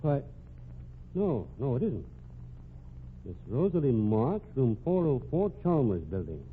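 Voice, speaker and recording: An actor playing an excitable, indignant company boss in a radio mystery, giving orders with an air of authority. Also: male voice, mid-range voice, unhurried, slight background noise. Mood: flustered. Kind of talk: old-time radio mystery acting. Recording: tinny, narrow old radio recording.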